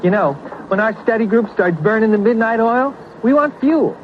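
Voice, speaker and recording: A man's voice: high voice, animated, moderate pace, dull audio. A man playing a keen student in a 1970s radio commercial on an old, slightly noisy broadcast. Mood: upbeat pitch. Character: keen student plugging a takeout meal.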